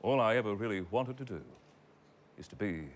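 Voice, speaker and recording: A man's voice: fairly low pitch, fairly quick, close to an everyday voice. A man playing a vain, bitter, washed-up actor hosting a live television show, speaking with an Australian accent in a pompous, sarcastic manner. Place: television studio stage. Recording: live studio, noisy crowd.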